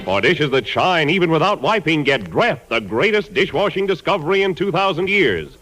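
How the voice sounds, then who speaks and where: A man selling in 1940s radio commercial style, with a fairly high, very lively voice. Music plays along on the vintage broadcast. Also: moderate pace. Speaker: commercial announcer.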